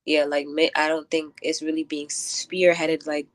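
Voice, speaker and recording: Low-voiced woman, reflective interview guest. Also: level tone, unhurried. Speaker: interview guest, event curator and poet. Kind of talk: casual conversational interview.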